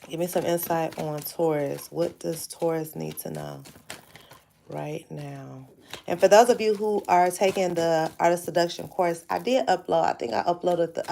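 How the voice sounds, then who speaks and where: A woman's voice: on the low side, gravelly, a little mellow, a little hiss or hum. A woman hosting a casual live tarot reading, chatting straight to her viewers, her voice stuffy and nasal from sinus trouble. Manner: chatty, addresses viewers directly. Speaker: tarot reader hosting a livestream.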